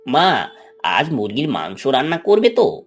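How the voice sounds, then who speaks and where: An eager, scheming village boy of ten from a cartoon fairy tale, played by a man. The voice is husky and swings widely in pitch, over faint music. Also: huge pitch swings, measured pace, exaggerated voice. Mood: eager, pleading. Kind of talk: animated moral story dialogue.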